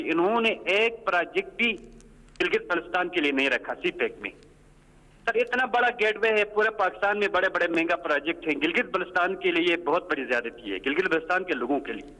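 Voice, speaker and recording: A man's voice: high voice, bright, forceful tone, poor audio. A man calling a phone-in, respectfully but insistently putting questions and complaints over the telephone. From Gilgit, Pakistan. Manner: respectful, insistent, often says sir. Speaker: caller to a phone-in. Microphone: telephone call.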